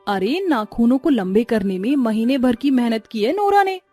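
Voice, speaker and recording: A woman's voice: on the high side, lively intonation, moderate pace, warm tone. A woman voicing a vain, whiny, insolent young daughter-in-law in a cartoon story, with theatrical, defiant delivery. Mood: boastful. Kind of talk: Hindi cartoon story character acting. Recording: music under the voice.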